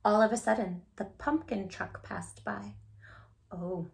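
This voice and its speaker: A woman hosting a children's storytime, speaking in an animated, gushing way straight to young listeners as she chats and reads aloud. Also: mid-range voice, a little slow, gravelly. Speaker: children's storytime host and creator of the channel. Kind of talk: children's read-aloud and direct-to-viewer chat.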